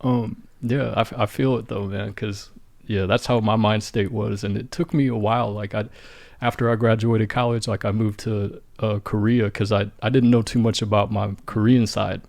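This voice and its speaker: A man's voice: lowish voice, flat delivery, normal speed, creaky, a little mellow, dry recording. A young man from St. Louis hosting a podcast, chatting in a laid-back, rambling way with lots of "like". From St. Louis, Midwest US. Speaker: podcast host. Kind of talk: casual conversation.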